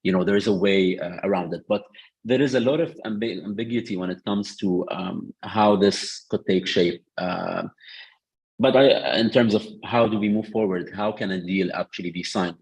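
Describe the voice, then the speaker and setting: A man's voice: low voice, moderate pace, vocal fry, a little mellow, husky, low-quality recording. A man answering a question on a panel discussion, hesitant, with many fillers and restarts. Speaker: panel speaker on Lebanon.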